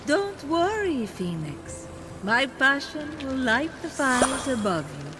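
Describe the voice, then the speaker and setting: A woman playing a passionate, catty, dramatic goddess of love in a video game, with a drawn-out theatrical delivery. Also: high-pitched, very animated, heavily acted. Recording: loud music behind the voice.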